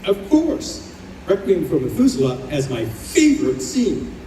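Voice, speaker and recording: A man's voice: sits high, huge pitch swings, a little slow, mellow, heavily acted. A man playing a devoted, teasing young husband with a past life as a wife, in an old-time radio play recorded in a roomy space. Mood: fond. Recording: roomy live stage recording, distant, faint noise.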